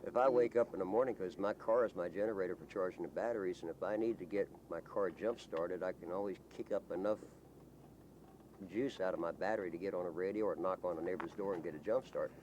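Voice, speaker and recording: Very deep-voiced, folksy man, noisy recording. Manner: folksy, rambling, halting. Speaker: local resident being interviewed. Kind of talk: casual storytelling to an interviewer.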